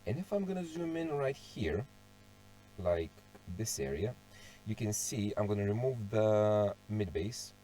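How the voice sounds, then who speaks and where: A young man who is sick and going hoarse walks through a tutorial casually, thinking aloud, restarting phrases and clearing his throat often. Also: lowish voice, expressive, measured pace, warm tone. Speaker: car audio hobbyist presenting a tuning walkthrough. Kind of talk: casual screen-share tutorial.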